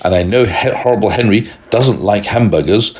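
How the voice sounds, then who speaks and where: A man voicing a brisk, matter-of-fact mother in a children's story. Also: on the low side, a little mellow, slight background noise, natural voice.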